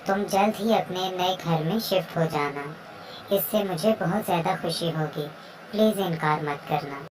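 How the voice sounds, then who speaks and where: A woman's voice: on the low side, normal speed, noisy background, close to an everyday voice. A woman voicing a kind, worried female pigeon in a children's cartoon story. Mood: kind, persuading.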